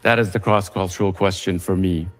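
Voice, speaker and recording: An Iranian-American man reading a scripted reflective essay in a measured way. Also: low-pitched, steady tone, in a large hall, soft background music.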